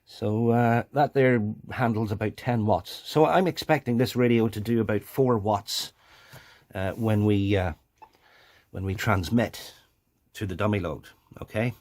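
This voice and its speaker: A man presenting a hobby video in a casual, hands-on way, explaining as he goes and often thinking aloud haltingly. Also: on the low side, darkish tone.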